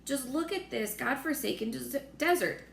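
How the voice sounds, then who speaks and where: A woman's voice: sits high, lively intonation, normal speed, vocal fry, fairly bright tone, voice pushed up, heavily acted. A woman voicing a frightened, exasperated male air force chief from a storybook, agitated and exclaiming. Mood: dismayed. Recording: roomy echo with faint noise.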